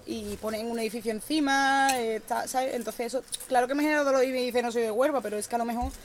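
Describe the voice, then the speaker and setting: A woman's voice: on the high side, fairly even intonation, fast talker, a little hiss or hum. A young adult woman with an Andalusian Spanish accent, talking passionately in a casual group conversation and restarting her sentences often. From Andalusia, Spain.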